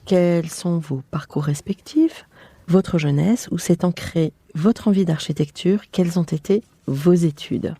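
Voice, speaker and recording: Mellow French woman hosting a podcast. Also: lowish voice, unhurried, slightly rough, no room sound. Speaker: podcast host. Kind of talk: scripted introduction and interview questions.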